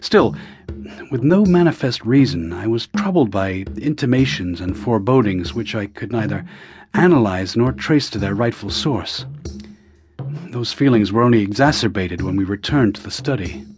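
An actor playing an educated, uneasy assistant in a radio drama, with formal, literate speech that turns hesitant. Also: male voice, fairly low pitch, expressive, moderate pace, music in the background, lightly acted. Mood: brooding narration. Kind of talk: radio drama acting and first-person narration.

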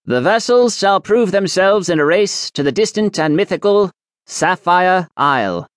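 A solemn, self-important alchemist sage, as a male narrator puts him on, pitched a little above the reader's own voice. He proclaims everything like a formal decree. The sound is dry and close, with no room around it. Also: expressive, a little slow, dry recording. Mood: proclaiming. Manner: stately, ceremonial proclamation. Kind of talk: audiobook character voice.

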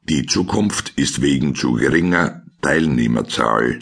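A man reading scripted scene descriptions as a deadpan radio play narrator. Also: rumbling low, measured pace, creaky, slightly rough, exaggerated voice.

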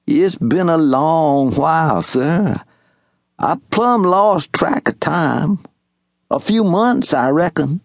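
A man voicing an elderly Southern servant, slow drawl. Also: on the high side, expressive, creaky, no room sound, studio quality, pitched above the performer's own voice, exaggerated voice. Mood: earnest. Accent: Southern US rural dialect. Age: older. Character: elderly male farm servant, loyal and humble.

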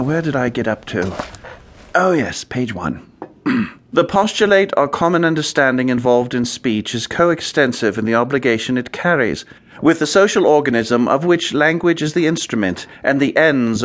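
A man playing a foppish, idle young English gentleman in a radio comedy, with a posh accent and a breezy, chatty, easily flustered manner full of period slang. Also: mid-range voice, lightly acted. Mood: groggy, muddled. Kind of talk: radio comedy acting with first-person narration.

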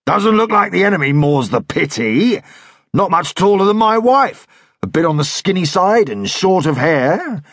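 A man voicing a tall, swaggering royalist cavalry officer who banters loudly and gives orders. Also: high-pitched, sing-song, normal speed, no echo, studio quality, voice pushed up, heavily acted. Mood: boisterous. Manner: loud, bantering, commanding. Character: boisterous tall royalist dragoon leader, male, swaggering.

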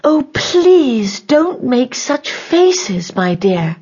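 A kindly, curious little girl from a storybook as a woman narrator plays her. She speaks earnestly and politely, with lively swings of intonation and a breathy edge. The audio is a little dull. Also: medium pitch, moderate pace, voice pushed up. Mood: earnest, amused. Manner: whispers to herself, exclaims earnestly.

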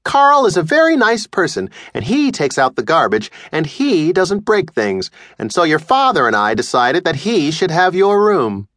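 A man's voice: sing-song, normal speed, few pauses, a bit bright, no echo, studio quality. A man voicing a cold, prim middle-aged mother who scolds in a raised, prissy tone. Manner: primly scolding, higher-pitched.

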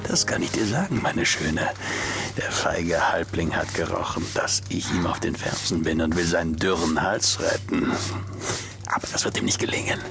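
An actor playing a cold, mocking male assassin in a radio drama, speaking slowly and threateningly. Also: sits low, vocal fry, over music. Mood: menacing. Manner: slow, sneering and threatening. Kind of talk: German radio drama acting.

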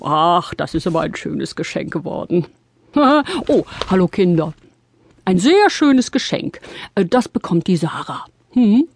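A man's voice: high-pitched, expressive, measured pace, vocal fry, no room sound, exaggerated voice. A man playing a kindly, flustered old Santa Claus in a children's audio play, hesitant and puzzled, drawing out his exclamations. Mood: pleased, busy.

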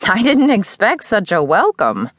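Woman voicing a higher-pitched, brisk older woman mentor. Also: wildly swooping pitch, no room sound, studio quality. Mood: pleased surprise. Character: brisk, kindly older woman mentor who practices magic. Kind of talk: audiobook character dialogue.

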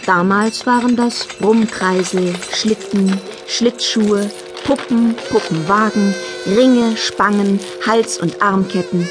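A woman narrating a children's Christmas tale in a measured, wryly humorous storybook style. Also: mid-range voice, steady tone, natural voice. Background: music.

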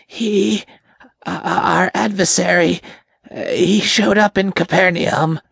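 A man voicing a frightened, servile demon underling with a high, raspy, creaky voice and a timid, halting manner in an audiobook. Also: steady tone, fairly bright tone, no room sound, clean studio sound, full character voice. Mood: nervous, halting. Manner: timid, halting, whimpering, slow. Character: groveling, frightened male impure spirit, servile demon underling. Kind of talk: dramatic audiobook character voice.

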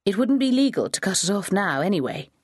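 A woman's voice: mid-range voice, sing-song, a little fast, darkish tone, no room sound, clean studio sound. A woman voicing a polite, patient dog owner who raises her voice to be heard.

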